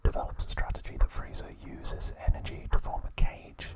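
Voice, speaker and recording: Man whispering softly for ASMR. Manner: soft unvoiced whisper, slow and even; brief aside to his cat. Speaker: ASMR host.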